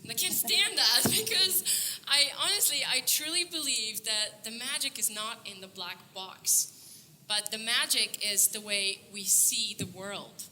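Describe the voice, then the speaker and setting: A woman's voice: on the high side, unhurried, noisy background. A young woman from Bulgaria giving an upbeat stage talk at a conference, earnest, laughing often, with many fillers and restarts. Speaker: conference speaker. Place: conference stage before an audience.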